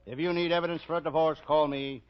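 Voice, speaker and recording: An American man playing a deadpan hard-boiled private detective, narrating a 1940s radio parody. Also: a little high, quick pace, clean tone, natural voice. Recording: noisy, muffled 1940s radio recording.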